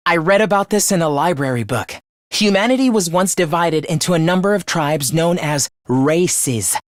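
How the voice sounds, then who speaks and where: A man playing an earnest, caring teenage student leader in an anime-style video game, with a youthful, expressive voice and polite delivery. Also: high-pitched, no room sound, studio quality. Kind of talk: animated game voice lines, anime-style dub acting.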